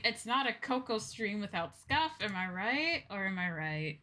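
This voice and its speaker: Bright-voiced, flustered woman livestreamer. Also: lowish voice. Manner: flustered, apologetic, scattered. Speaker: VTuber livestream host. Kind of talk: casual livestream chat.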